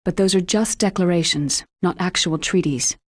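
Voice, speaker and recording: A woman's voice: lowish voice, moderate pace, no room sound, studio quality, close to an everyday voice. A woman playing a serious, composed military scientist in a video game, speaking precisely and matter-of-factly. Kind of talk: video game cutscene acting.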